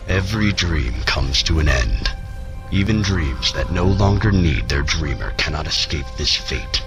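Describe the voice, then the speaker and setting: A man as an extremely deep, ominous antagonist. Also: animated, measured pace, over music, exaggerated voice. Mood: solemn menace. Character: grave, ominous, fatalistic male game antagonist. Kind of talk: video game mod voice acting.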